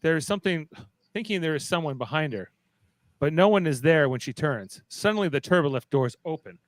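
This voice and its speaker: A man's voice: on the high side, expressive, brisk, no room sound. A man narrating stage directions in a playful, dramatic way for a live fan script reading.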